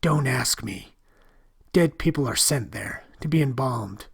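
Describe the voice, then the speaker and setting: A man voicing a frightened young woman, husky whispers. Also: medium pitch, animated, a little slow, full character voice. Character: timid frightened young woman hiding in a store. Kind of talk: storybook reading.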